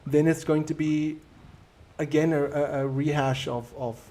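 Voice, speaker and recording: A man with a South African accent, whose first language is Afrikaans, hosting a live stream and answering viewer comments in a relaxed, reflective way. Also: a little high, a little slow. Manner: reflective, easygoing and conversational.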